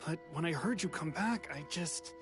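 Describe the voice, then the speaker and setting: A man's voice: fairly high pitch, lively intonation, moderate pace, lightly acted. Man as nervous fugitive over music. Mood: apologetic, grateful. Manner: hesitant, polite, apologetic. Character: nervous, apologetic male fugitive, polite and grateful. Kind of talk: English video game character acting. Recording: clear music behind the voice.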